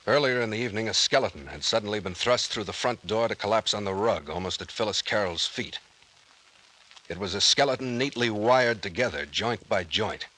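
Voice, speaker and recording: An actor playing a brusque, commanding private investigator captain with gruff, slangy talk in an old-time radio drama. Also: male voice, lowish voice, expressive, fairly quick, bright, forceful tone, rough recording. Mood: narrating grimly. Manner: gruff, bossy, folksy slang. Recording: vintage radio drama recording.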